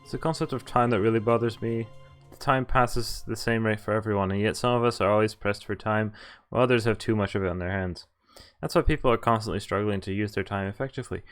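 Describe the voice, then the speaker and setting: A man's voice: lowish voice, steady tone, fast talker, clear, resonant tone. A man streaming a visual novel, reading its text aloud with casual commentary over game music. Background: game background music.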